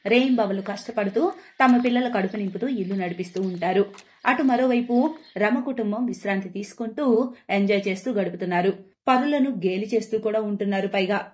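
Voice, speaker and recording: A woman's voice: medium pitch, fairly quick, darkish tone. A woman narrating a scripted moral story.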